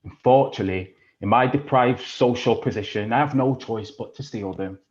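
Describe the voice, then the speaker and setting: A man plays a foul-mouthed working-class youth, sneering and quick to threaten. He talks at an ordinary pitch, and the recording is a touch muffled. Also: natural voice. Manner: swearing, menacing, mocking. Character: rough working-class British boy, aggressive, crude, defensive. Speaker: actor in a stage play. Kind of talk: audio drama acting.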